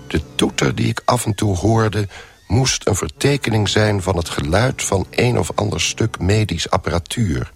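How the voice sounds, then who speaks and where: An actor playing a trapped, bewildered man who tells his own ordeal in a radio drama, in a deliberate, musing, wry manner. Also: deep voice, vocal fry, exaggerated voice. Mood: confident, reasoning. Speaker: narrator and lead character. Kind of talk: radio drama first-person narration.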